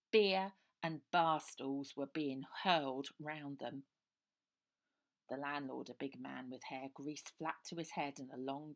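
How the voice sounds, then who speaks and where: An older woman reading her own children's novel aloud in an expressive, lively way, recorded on a webcam in a small room. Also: low voice.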